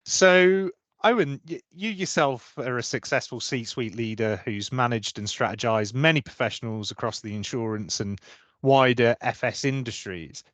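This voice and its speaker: A man's voice: mid-range voice, normal speed, fairly bright tone, dry recording. A man hosting an interview, asking questions in a friendly, conversational way with fillers and repeated words. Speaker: interviewer, recruitment practice lead.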